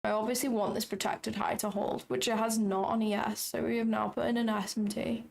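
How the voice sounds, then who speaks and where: A young woman with a raspy voice, chatting casually and thinking aloud as she hosts a live trading stream. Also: fairly high pitch, little pitch movement, brisk. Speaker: trading livestream host.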